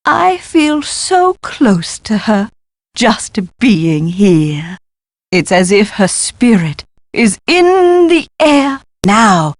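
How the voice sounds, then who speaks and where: An actress playing an eccentric, excitable, absent-minded woman professor in a video game, with a flighty, pompous and exclamatory delivery. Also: mid-range voice, dry recording. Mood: delighted, awed. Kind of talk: theatrical video game voice acting.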